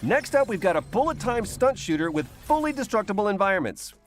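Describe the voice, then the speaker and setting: A man's voice: high-pitched, big pitch swings, brisk, a little hiss or hum. A man hosting a video game showcase with scripted promotional links. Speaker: showcase host. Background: background music.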